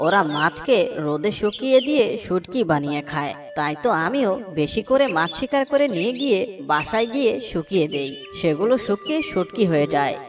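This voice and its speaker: Stork, kids' cartoon actress: chatty, knowing, animated, slightly distant recording with birdsong and music. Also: female voice, lowish voice, lightly acted. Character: friendly, clever, boastful stork. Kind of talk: Bengali children's cartoon voice acting.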